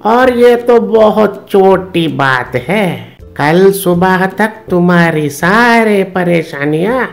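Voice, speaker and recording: A performer voicing a kindly, mysterious old man in a cartoon story, speaking in a gentle, measured, fatherly way. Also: mid-range voice, lively intonation, creaky, faint music behind, voice pushed down.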